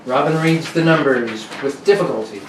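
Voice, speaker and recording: A man's voice: mid-range voice, normal speed. A man narrating a radio serial in a theatrical 1940s announcer style. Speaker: radio drama narrator and actor. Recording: echoey noisy room, distant mic.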